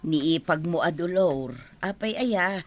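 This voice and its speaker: Gentle, expressive older Filipino mother, acted. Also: on the low side, slow, no room sound, faint music behind. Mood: patient. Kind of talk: Filipino radio drama acting.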